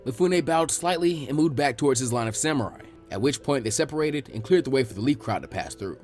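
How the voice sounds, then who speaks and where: A man's voice: medium pitch, lively intonation, quick pace, darkish tone, soft background music. A man reading scripted fan fiction aloud as its narrator.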